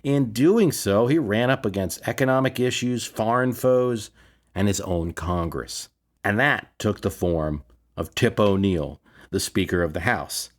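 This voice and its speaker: Male podcast host, lower-pitched voice, conversational commentary, emphatic, argumentative delivery. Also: animated, unhurried. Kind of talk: conversational political history commentary.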